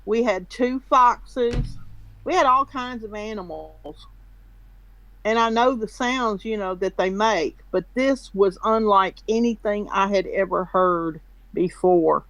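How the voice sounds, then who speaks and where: Woman telling a story slow in a voice chat, keeps restarting her sentences, dry sound. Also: medium pitch, fairly bright tone, no echo, low-quality recording. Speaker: caller. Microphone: computer mic in a voice chat.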